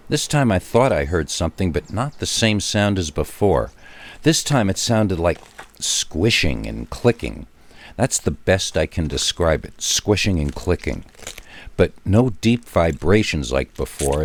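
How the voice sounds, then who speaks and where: A man's voice: low-pitched, very animated, a little slow. A man narrating a first-person scary story in a dramatic but conversational way, with wry asides to the listener.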